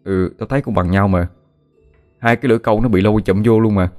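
A man voicing a stubborn, quick-tempered young villager, speaking forcefully. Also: fairly low pitch, steady tone, soft background music, close to an everyday voice. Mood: reasoning. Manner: forceful, rapid. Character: stubborn young man, fair-minded but quick to hit.

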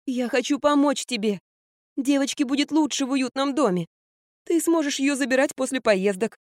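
A woman's voice: sits high, moderate pace, no echo, clean studio sound, pitched above the performer's own voice, natural voice. A woman voicing an insistent older grandmother, pleading and coaxing her daughter in a raised, emphatic voice.

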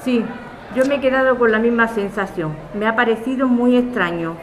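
An actress plays a chatty, easily alarmed café woman from Spain, with a Spanish accent and a highish voice. It is natural audio drama dialogue over clearly noisy ambience. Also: normal speed, close to an everyday voice. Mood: puzzled.

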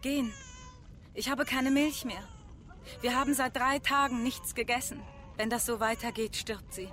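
A woman's voice: on the high side, fairly even intonation, moderate pace, a bit bright, faint music behind, natural voice. A woman playing a starving, anxious young mother in a radio drama. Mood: weary despair. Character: starving young mother, frail, pleading, anxious for her baby.

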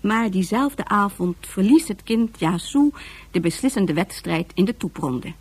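Lively female radio drama narrator. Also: medium pitch, unhurried, breathy edge.

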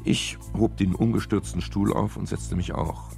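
An actor playing a sober, composed private detective in a radio crime drama, speaking in a measured, matter-of-fact way. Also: male voice, lowish voice, steady tone, gravelly, a little mellow, soft background music. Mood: sober narrating. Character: male private detective narrating his case, sober and composed. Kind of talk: 1970s German radio crime drama, narration and dialogue.

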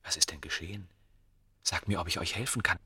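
A man acting an ardent scholar of ancient Egypt in a German radio play, with formal, poetic phrasing and a gravelly voice. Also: low-pitched, fairly quick, sharp tone. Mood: awkward, smitten.

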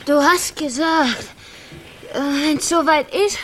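Slow, high-pitched pleading young woman. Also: slight background noise. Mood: pained, pleading. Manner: slow, plaintive pleading with groans. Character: young pregnant poor woman in labour, timid, pleading. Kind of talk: radio drama acting.

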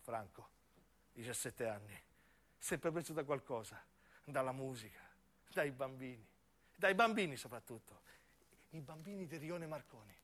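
A man's voice: mid-range voice, lively intonation, a little slow, pauses often, fairly bright tone, slight background noise. A man with a southern Italian accent narrating a first-person story theatrically, as a solo actor in a radio play. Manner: dramatic, acts out dialogue.

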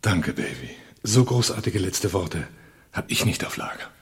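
An actor playing a sardonic, gruff husband and reluctant leader in a radio drama, speaking and narrating in a dry, deadpan way. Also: male voice, sits low, normal speed. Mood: dry, wry. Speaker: actor and first-person narrator. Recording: noisy 1980s radio play production.

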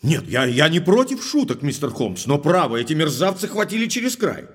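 A man's voice: on the high side, a little fast, slightly rough. An actor playing a hot-tempered, indignant baronet in an audio drama, emphatic and agitated in his speech. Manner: agitated, emphatic, courteous when calming.

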